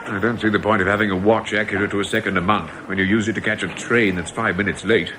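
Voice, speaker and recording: An actor in a radio drama playing a chatty, opinionated, old-fashioned customer who muses and grumbles. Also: male voice, on the low side, fairly even intonation, fast, background noise, close to an everyday voice. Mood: chatty, disapproving.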